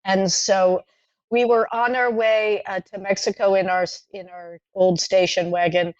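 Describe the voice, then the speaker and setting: A woman's voice: medium pitch, little pitch movement, no echo. Thoughtful woman with dry, muffled sound. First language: French. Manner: thoughtful, halting, frequent fillers and restarts, light laughter. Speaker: playwright guest. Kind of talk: podcast interview, reminiscing.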